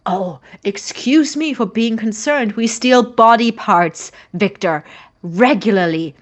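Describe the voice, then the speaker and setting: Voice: mid-range voice, slow pace, natural voice. Wary, earnest young roommate played by a woman. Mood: defensive, sardonic. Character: anxious, suspicious young roommate Christopher, grave-robbing lab partner. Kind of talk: naturalistic audio drama acting.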